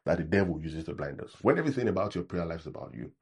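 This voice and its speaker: A man's voice: sits low, expressive, racing, slightly rough. A male pastor with a Nigerian accent, preaching in a conversational way, often checking in with his listeners and acting out sample prayers. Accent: Nigerian English. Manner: conversational preaching, frequent "right?" check-ins; acts out sample prayers in a mocking tone. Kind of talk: sermon.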